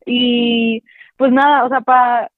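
A woman in her twenties from Monterrey with a northern Mexican accent, a relaxed podcast guest who laughs easily and uses slang fillers. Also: on the high side, slow pace, no room sound. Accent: northern Mexican Spanish. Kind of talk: casual interview chat. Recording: tinny phone-like call audio.

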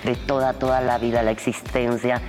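A middle-aged woman from Cuba hosting a casual podcast in a studio, exuberant and teasing, cheering loudly. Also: a bass voice, level tone, moderate pace, faint music behind. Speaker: podcast host.

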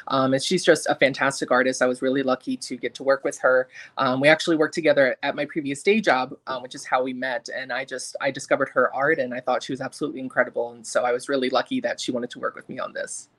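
A man in his mid-twenties, a guest author, answering interview questions in a friendly, casual way with frequent ums. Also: mid-range voice. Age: 20s. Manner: friendly, many ums, lively swings in loudness.

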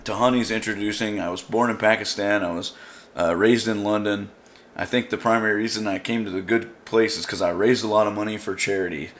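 A man's voice: on the low side, fairly even intonation, brisk, a little hiss or hum. A man casually recapping a show with friends, rambling with fillers and restarts. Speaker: show commentator.

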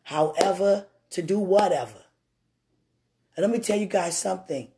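Deep-voiced woman preaching with fire. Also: normal speed. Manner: animated, swinging from loud to hushed. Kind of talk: testimony and preaching.

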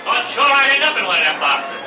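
Mocking hayseed heckler, very high rural American voice, shot from far off in a noisy crowd. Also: male voice, big pitch swings, brisk. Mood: jeering. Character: folksy, mocking carnival bumpkin heckler. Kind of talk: old-time radio comic character acting. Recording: distant, echoey, noisy crowd, muffled vintage radio.

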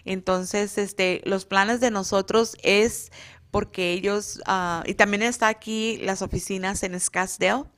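A woman, a beauty school instructor whose first language is Spanish, talking on a radio interview and often pausing to search for words. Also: medium pitch, steady tone, fairly bright tone. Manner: hesitant, many fillers, searches for words.

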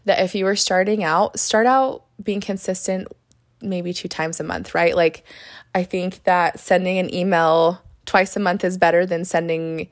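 A young woman hosting her own podcast, still a little hoarse from laryngitis, chatting in an upbeat way with fillers and quick self-corrections. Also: lowish voice, steady tone, no room sound, studio quality. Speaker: podcast host, marketer. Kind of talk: casual solo podcast talk.

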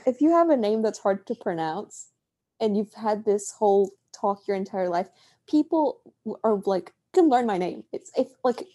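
A young woman from Mexico co-hosting a podcast, telling stories in a casual, animated way and acting out quoted lines. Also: mid-range voice, normal speed. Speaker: podcast co-host. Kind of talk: casual chat.

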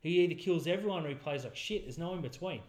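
A man's voice: fairly high pitch, fast talker, darkish tone. An Australian man co-hosting a casual sports podcast, with dry, gloomy and sweary humour. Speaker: podcast co-host. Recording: roomy echo.

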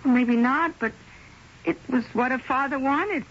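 A woman's voice: high-pitched, unhurried, slight background noise. An actress playing a chatty, opinionated, gossipy woman who speaks colloquially in a radio drama. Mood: frank, gossipy. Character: gossipy, frank working-class woman, impatient with questions. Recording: muffled old radio broadcast.

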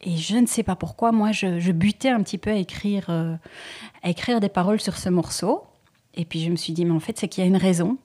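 A woman singer being interviewed about her album, who speaks thoughtfully with frequent hesitations and pauses. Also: on the low side, mellow. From Belgium. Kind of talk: relaxed interview conversation.

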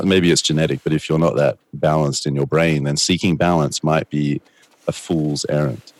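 A man's voice: extremely low pitch, a little mellow. A middle-aged man, a guest, answering in a thoughtful, rambling way with a soothing voice and dramatic bursts. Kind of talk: reflective conversational answers.

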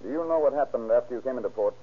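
An actor playing a brisk, formal prosecutor questioning witnesses in an old radio drama. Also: male voice, mid-range voice, close to an everyday voice. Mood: brisk, probing. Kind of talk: 1940s radio courtroom acting. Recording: muffled old radio recording.

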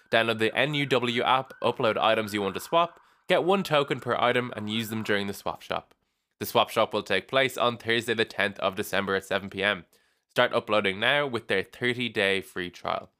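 A young man reading a weekly student union bulletin in a brisk, upbeat way, lifting his voice at each new item. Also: medium pitch, pushed and bright.

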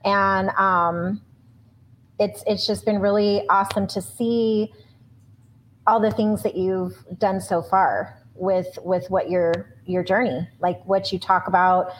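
A woman's voice: medium pitch, little pitch movement, slow, a little hiss or hum, rough recording. A woman co-hosting a podcast who talks in an effusive, rambling way, often restarting her sentences. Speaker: podcast co-host. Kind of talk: podcast interview.

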